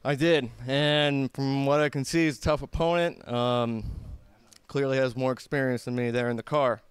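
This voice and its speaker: A man's voice: medium pitch, fairly even intonation, moderate pace, smooth, no echo. A man from Germany, a newcomer fighter, giving brief polite interview answers.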